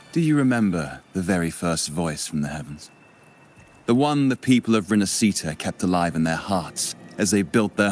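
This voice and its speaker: An actor playing a calm, idealistic poet in a video game, speaking in an earnest, measured, eloquent way. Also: male voice, deep voice. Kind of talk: dramatic game voice acting.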